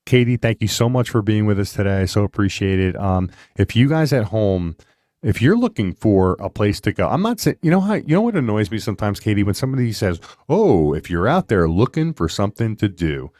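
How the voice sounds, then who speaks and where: A man in his fifties hosting a podcast, talking in a chatty, rambling way with animated asides. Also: lowish voice, brisk, no echo. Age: 50s. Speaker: podcast host. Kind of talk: casual interview chat.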